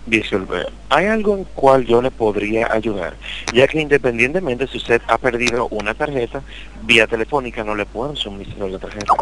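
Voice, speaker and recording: A man's voice: mid-range voice, lively intonation, moderate pace. A man working as a customer service agent on the phone, speaking formally and questioning insistently. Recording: noisy telephone line.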